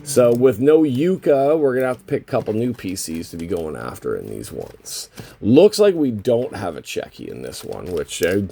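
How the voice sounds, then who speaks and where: A man with a voice worn hoarse by a cold, hosting a casual, unscripted card box opening and rambling as he thinks aloud. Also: fairly low pitch, huge pitch swings, moderate pace, mellow. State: losing his voice from a lingering cold. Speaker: host of a trading card box-break channel.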